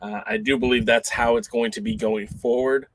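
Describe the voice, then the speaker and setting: A man hosting a laid-back talk show, chatting loosely and filling gaps with ums and uhs. His voice sits at an ordinary middle pitch. The sound is close and dry. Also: steady tone, moderate pace, no echo. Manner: rambling and conversational, lots of fillers. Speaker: podcast host. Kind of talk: casual chat show.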